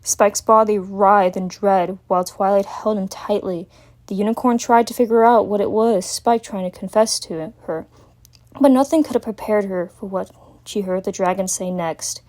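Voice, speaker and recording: Lady reading a dramatic story, normal pitch, serious and earnest narrator voice. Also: moderate pace, a little mellow.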